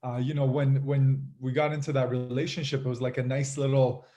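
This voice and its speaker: Halting, reflective man, mid-pitched voice. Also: level tone, mellow, highs cut off. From Iran, moved to Canada at eight. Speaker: podcast guest, fitness group leader. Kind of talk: casual personal storytelling.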